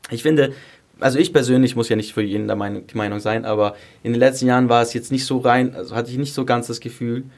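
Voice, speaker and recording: A man's voice: mid-range voice, steady tone, fast talker, darkish tone. A man in his early twenties from Greece and southern Germany, chatting candidly as a podcast guest in casual, youthful, emphatic language. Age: early 20s. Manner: candid, youthful colloquial phrasing, emphatic repetition. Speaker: podcast guest, singer and song contest contestant. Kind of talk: casual interview chat.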